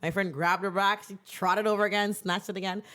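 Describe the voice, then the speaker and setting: A young woman co-hosting a casual podcast, telling animated stories with lots of "like" fillers. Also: medium pitch, a little fast. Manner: animated storyteller, many "like" fillers. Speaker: podcast co-host; teacher. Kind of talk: casual chat.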